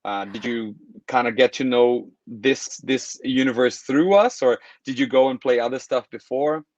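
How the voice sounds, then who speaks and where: A man's voice: medium pitch, normal speed, no room sound, muffled recording. A man from Brazil hosting a casual video call interview, talking in a friendly, rambling way with restarts. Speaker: podcast host.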